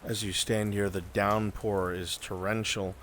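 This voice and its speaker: A man with a fairly low voice narrates a roleplay game as game master. He describes scenes to the player in a measured manner, with light background noise and rain behind him. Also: flat delivery, a bit bright.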